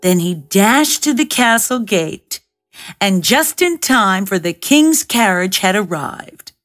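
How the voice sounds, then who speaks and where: A woman's voice: medium pitch, expressive, takes it slowly, a bit bright, soft background music. A woman narrating a scripted fairy tale as an audiobook reader.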